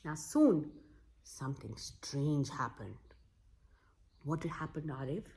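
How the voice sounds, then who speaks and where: A woman's voice: low voice, big pitch swings, slow pace, creaky, warm tone. A woman telling a folktale aloud to children as host, in a dramatic way that repeats words for emphasis. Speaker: storyteller and host.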